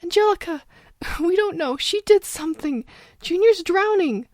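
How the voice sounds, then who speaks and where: A woman's voice: extremely high pitch, lively intonation, unhurried, darkish tone, dry recording, voice pushed up. A woman voicing a terrified young demon girl, breathless and choked with sobs in an audio drama. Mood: frantic, terrified.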